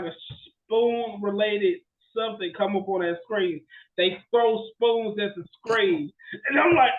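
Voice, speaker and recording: High pitched guy on a podcast, slangy and excitable chat, kinda muffled lo-fi audio. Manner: animated, slangy, exclamatory. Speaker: podcast co-host. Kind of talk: casual chat, storytelling and ranting.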